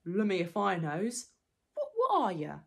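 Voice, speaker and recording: A woman voicing a big, hungry, bad-tempered male troll with rough rustic slang, in a storybook reading. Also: mid-range voice, huge pitch swings, takes it slowly, full character voice. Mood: suspicious, menacing.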